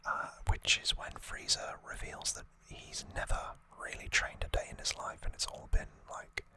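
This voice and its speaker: Bright, breathy ASMR whisperer. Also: moderate pace. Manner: hushed, conversational explaining. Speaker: ASMR host recapping an anime series.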